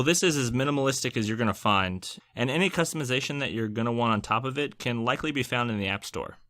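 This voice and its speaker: A man's voice: medium pitch, bright, forceful tone. A man hosting a scripted product review, narrating with wry, joking asides. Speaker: tech reviewer and host.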